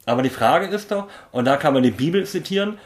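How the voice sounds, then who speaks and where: Casual podcast guest: a blunt, slangy man from Berlin with an animated, swinging delivery. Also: fairly high pitch, fast talker.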